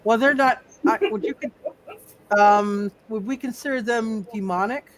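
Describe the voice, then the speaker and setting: A man refereeing a casual tabletop roleplay, halting and often repeating his words and phrases. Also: high voice, fairly bright tone, rough recording. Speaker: game master of a live superhero tabletop roleplay. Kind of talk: casual, improvised game refereeing.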